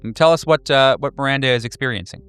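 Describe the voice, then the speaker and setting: A man running a horror tabletop game, narrating atmospherically in a measured, deliberate way. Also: medium pitch, a bit bright, clear, resonant tone, faint music behind.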